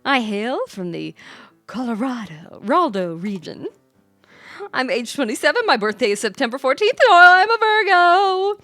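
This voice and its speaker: Game role: woman playing a guileless, bubbly twenty-something, high and loud, very animated, earnest. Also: normal speed, dry recording, faint music behind, exaggerated voice. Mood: bubbly, eager. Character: eager, guileless young adult game character oversharing details.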